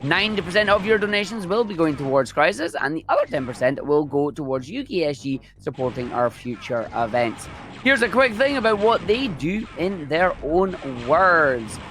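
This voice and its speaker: A man's voice: a little high, sing-song, moderate pace, fairly bright tone. A man hosting a gaming marathon, reading a charity appeal aloud in an informative way.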